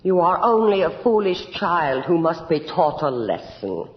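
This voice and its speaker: An actress playing an imperious, cruel noblewoman in a radio horror drama, speaking in a grand, deliberate, theatrical manner. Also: fairly low pitch, sing-song. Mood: coldly coaxing. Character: imperious, cruel noble countess, delighting in blood. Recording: muffled, echoey old radio drama recording.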